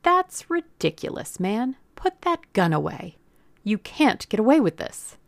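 Woman acting a gruff, blustering male boss. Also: on the low side, moderate pace, no echo, studio quality. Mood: indignant. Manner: irritable and dismissive. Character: gruff, bossy, blustering male executive with something to hide. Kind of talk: audiobook character acting.

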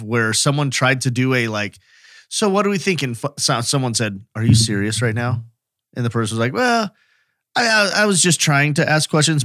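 A man's voice: mid-range voice, a bit bright, dry recording. A man co-hosting a true crime podcast, talking casually and conversationally. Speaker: podcast co-host.

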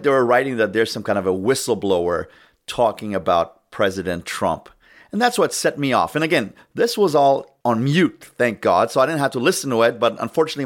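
A man hosting his own political podcast, talking loudly and emphatically in a ranting monologue. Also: fairly low pitch. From United States. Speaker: podcast host.

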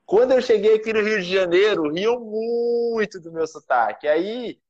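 A man's voice: sits high, lively intonation, a little slow, a little mellow. A man from Goiás, Brazil, with a strong local accent and a rolled R, a math teacher chatting easygoingly on a live stream. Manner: easygoing, storytelling. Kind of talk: casual chat on a live stream. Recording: dull, lo-fi sound.

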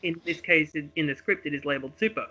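A man's voice: on the high side, fast, bright, forceful tone, dull audio. A man reading a script aloud as narrator of a homemade radio play, with dramatic storytelling delivery. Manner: dramatic present-tense storytelling.

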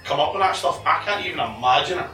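A man's voice: a little high, expressive, fast, bright, forceful tone, far from the mic, faint music behind. A man co-hosting a casual podcast chat, telling anecdotes in an easygoing way. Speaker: podcast co-host. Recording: echoey room, voice set back from the mic.

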